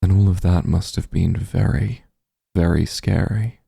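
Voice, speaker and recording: Guy doing a super dark mellow demon lord, slow and soothing, cuddly asmr roleplay. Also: fairly even intonation, no echo, studio quality, heavily acted. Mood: soothing, comforting. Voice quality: low, gravelly, husky. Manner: soothing, tender, gently coaxing. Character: doting demon lord, shapeshifted into soft strong form. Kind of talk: ASMR roleplay, intimate comforting monologue.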